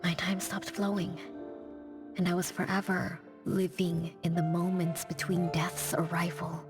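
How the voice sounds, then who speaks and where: A woman voicing a gentle, wistful noblewoman in an anime game, speaking politely and softly. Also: lowish voice, little pitch movement, unhurried. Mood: wistful, solemn. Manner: polite, soft-spoken, reflective. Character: gentle, wistful, long-lived young noblewoman. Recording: music behind the voice.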